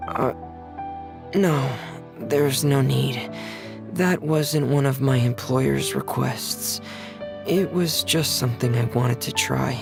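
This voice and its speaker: An actor playing a polite, soft-spoken young male mechanic in a video game, earnest and measured in manner. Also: medium pitch, vocal fry. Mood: earnest, reserved. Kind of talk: video game cutscene acting. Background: game background music.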